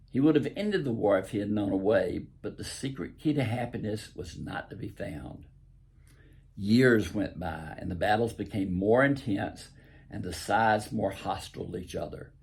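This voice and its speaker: An older man with a Southern US accent and a raspy voice, reading his own story aloud in a folksy, deliberate storytelling way. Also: fairly low pitch, expressive, a little mellow. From southern United States. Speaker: host and author reading own stories.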